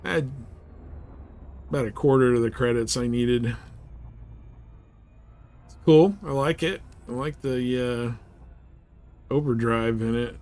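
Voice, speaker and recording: Male game streamer, average pitch, informal, rambling, chatty live commentary, clear noise behind the voice. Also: darkish tone. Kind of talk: casual live gameplay commentary.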